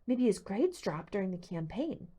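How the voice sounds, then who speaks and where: A woman's voice: medium pitch, very animated, moderate pace, mellow, slightly rough. A woman voicing a plucky, wry teenage girl detective with an inquisitive manner in an audiobook. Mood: curious.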